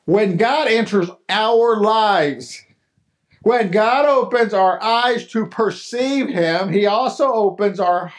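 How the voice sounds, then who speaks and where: A man's voice: high-pitched, slow pace. An older man preaching a sermon in a small chapel, repeating key phrases and rising to emphatic questions. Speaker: pastor. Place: small chapel hall, indoors.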